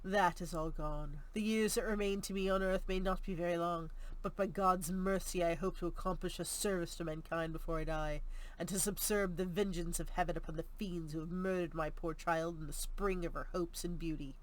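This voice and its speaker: A woman voicing a grim, bitter old general in an audiobook, gruff, testy and direct. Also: lowish voice, steady tone, normal speed, close to an everyday voice, poor audio. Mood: grieving, vengeful. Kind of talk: audiobook character reading.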